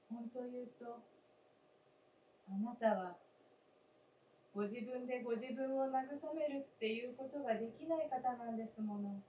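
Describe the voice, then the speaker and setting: Woman acting a high, slow-speaking wistful ghost wife. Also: darkish tone, close to an everyday voice. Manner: polite old-fashioned feminine speech, slow and deliberate. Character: gentle, wistful spirit of a dead wife, a snow figure. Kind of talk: staged radio drama acting. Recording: roomy stage, distant mic, faint noise.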